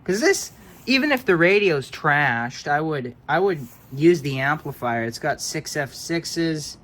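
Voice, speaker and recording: A man's voice: on the high side, animated, a little slow, a bit bright. A man giving casual, hands-on commentary as a hobbyist electronics repairer, offhand and muttering as if to himself.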